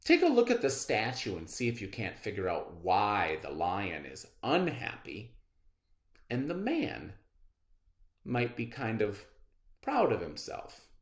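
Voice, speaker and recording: A man teaching children in a read-aloud lesson, expressive and instructive, often posing questions and checking in. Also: lowish voice, a little slow. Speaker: elementary school teacher. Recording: echoey room sound.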